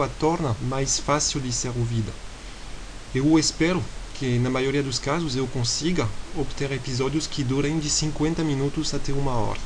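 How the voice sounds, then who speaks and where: A man with a foreign accent giving an improvised university lecture in a podcast, speaking in a hesitant, measured way and spelling things out slowly. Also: mid-range voice. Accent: foreign accent in Portuguese. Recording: hissy lecture recording.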